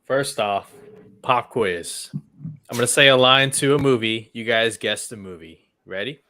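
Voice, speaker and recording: A man's voice: medium pitch, measured pace, sharp tone, no echo. A man co-hosting a casual talk show, speaking in an emphatic, conversational way. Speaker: co-host of a movie talk show. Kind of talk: casual chat, posing questions.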